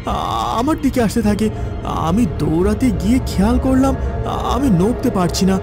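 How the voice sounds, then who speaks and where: A man playing an anxious, haunted village van driver in a horror cartoon, stammering when frightened. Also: sits high. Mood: terrified. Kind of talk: dramatic horror cartoon acting.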